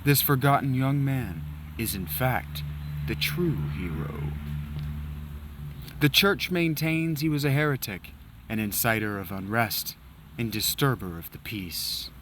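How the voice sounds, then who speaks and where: A man voicing a solemn male historian who narrates old wars in a slow, theatrical storytelling manner that drops to a hush. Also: mid-range voice, animated, noisy background, close to an everyday voice. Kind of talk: dramatic reading of game dialogue.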